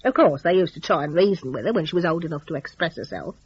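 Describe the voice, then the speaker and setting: A woman's voice: low-pitched, animated, fast, slightly rough, highs cut off. A woman narrating a dramatic story in a chatty, gossipy, folksy dialect.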